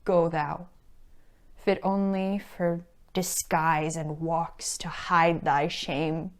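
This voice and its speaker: Woman acting slow, anguished, proud noblewoman. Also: low-pitched, level tone, pitched below the performer's own voice. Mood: scornful anger. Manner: formal, archaic, measured stage delivery. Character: heartbroken noblewoman, anguished, proud, renouncing her lover. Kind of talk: classical stage monologue.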